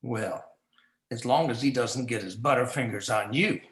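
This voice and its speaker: A man's voice: mid-range voice, moderate pace, a bit bright, lightly acted. A man playing a lecherous, scheming crooked policeman in a campy 1940s radio noir on an echoey stage. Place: live community theatre stage performance.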